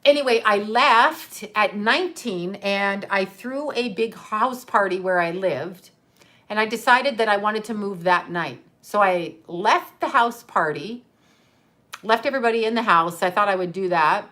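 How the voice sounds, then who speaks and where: A woman's voice: mid-range voice, a little slow, pushed and bright. A middle-aged woman hosting a live stream, telling a rambling personal story in a casual way and answering viewer comments along the way. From Canada. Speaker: livestream host.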